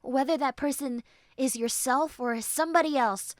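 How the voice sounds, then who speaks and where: A woman playing a gruff tsundere friend who has turned tender and vulnerable, speaking softly and earnestly in an intimate roleplay audio. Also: high-pitched, moderate pace, no room sound, studio quality, close to an everyday voice. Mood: earnest, reassuring. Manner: earnest, hesitant, soft, often dropping to breathy near-whispers.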